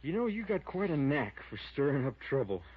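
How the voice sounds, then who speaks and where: An actor playing a plain-spoken, cautious deputy sheriff in a 1950s radio drama, folksy and matter-of-fact. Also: male voice, a little high, big pitch swings, a little fast, a little hiss or hum. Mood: cautious, dry. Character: plain-spoken, cautious small-town male deputy sheriff, dryly teasing. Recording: muffled vintage radio broadcast.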